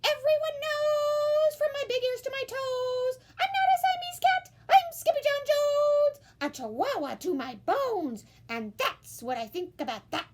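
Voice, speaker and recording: A woman voicing an excitable, boastful little boy kitten in a children's storybook, with a theatrical, defiant delivery. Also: very high-pitched, a little slow, few pauses, pitched above the performer's own voice. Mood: defiant, proud. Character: excitable little boy kitten who believes he's a chihuahua.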